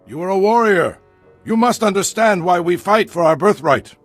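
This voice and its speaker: Proud male alien elder of a tribe, husky, unhurried, dry close sound, formal, grave, game cutscene. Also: high-pitched, lively intonation, no echo, soft background music. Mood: earnest. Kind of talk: video game voice acting.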